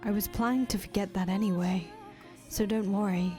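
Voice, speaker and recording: A woman voicing a brooding, guarded man who answers flatly and dryly. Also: mid-range voice, moderate pace, soft background music, close to an everyday voice. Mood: puzzled.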